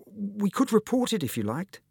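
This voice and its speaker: A small, earnest schoolboy in a storybook, voiced by a man. The pitch is pushed well up and moves about in a lively way. He comes across as timid and eager to help, recorded dry. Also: normal speed, gravelly, breathy edge, no room sound, full character voice. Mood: concerned. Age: child. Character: small timid helpful schoolboy, bright at maths.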